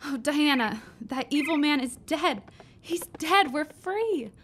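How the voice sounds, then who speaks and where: An actress playing an excitable, exuberant young woman in an audio drama, breathless and emphatic. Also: sits high, slow pace, heavily acted. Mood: urgent elation. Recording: clear background noise.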